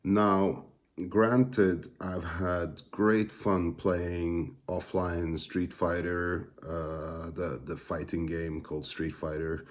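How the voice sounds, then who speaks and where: A man with a Norwegian accent, a musician, teacher and father, thinking aloud in a casual, hesitant monologue full of pauses and fillers. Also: sits low, level tone. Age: adult father.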